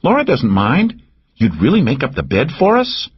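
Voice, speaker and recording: A man's voice: medium pitch, moderate pace, slightly rough, muffled recording, voice pushed up, exaggerated voice. A man putting on a brash flirty woman. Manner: brash, teasing, lively. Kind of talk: audiobook character voice.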